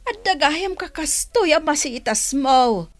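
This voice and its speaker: A slow, gossipy auntie. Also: female voice, high-pitched, big pitch swings, no echo. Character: older chatty auntie, frank and curious. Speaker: radio drama actress. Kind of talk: radio drama acting.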